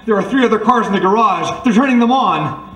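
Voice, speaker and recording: A woman playing a quick-thinking, sassy girl Friday to a detective in an old-time radio drama. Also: medium pitch, lively intonation, close to an everyday voice. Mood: urgent. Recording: echoey, distant, faint noise.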